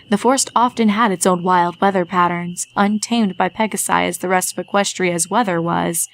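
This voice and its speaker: A woman reading a fan story aloud in a steady, measured narration. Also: mid-range voice, poor audio. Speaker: reader.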